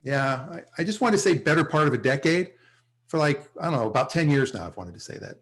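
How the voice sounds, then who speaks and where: Man acting slacker salesman son, offhand, wisecracking, slightly high-pitched, animated, video-call sitcom read. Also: fast. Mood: wry, offhand.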